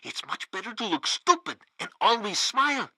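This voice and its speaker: A man's voice: high voice, big pitch swings, normal speed, sharp tone, no echo, heavily acted. An actor playing a pompous, fanatically loyal male radio news host in a video game, theatrical in delivery. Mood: exasperated, cheerful. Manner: theatrical, bombastic. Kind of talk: satirical radio news broadcast.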